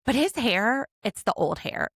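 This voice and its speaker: A woman in her thirties hosting a casual podcast from home, chatty and quick to laugh as she picks apart a reality show. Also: a little high, animated, a bit bright, breathy edge, no echo, clean studio sound. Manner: chatty, laughs often, overanalyzing. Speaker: podcast host, attorney.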